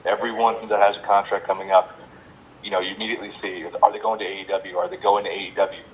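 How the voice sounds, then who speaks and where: A man's voice: flat delivery, fast. A male reporter casually asking a question on a press conference call over a phone. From New Jersey area. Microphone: telephone. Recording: echoey, noisy, dull phone line with rumble.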